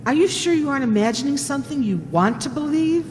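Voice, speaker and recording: Brief: female actor as inquisitive, loyal sleuth's sidekick for radio play, high voice, very animated, echoing hall with background noise. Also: quick pace. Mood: soothing, reasoning. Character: female detective's assistant, loyal, inquisitive, level-headed. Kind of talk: old-time radio detective drama acting. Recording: reverberant hall, noisy ambience.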